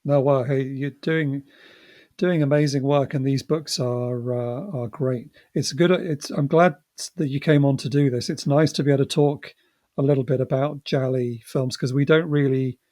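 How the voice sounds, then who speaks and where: A man's voice: medium pitch, steady tone, moderate pace, darkish tone. A man hosting a podcast in a casual, chatty style, effusive and a little self-deprecating. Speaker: podcast host. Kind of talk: casual chat.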